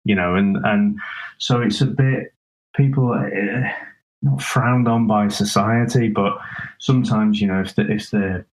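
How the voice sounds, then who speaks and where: A man's voice: fairly low pitch, steady tone, measured pace, poor audio. A man co-hosting a casual film review podcast, talking in a rambling way with frequent restarts and chuckles. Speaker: podcast co-host.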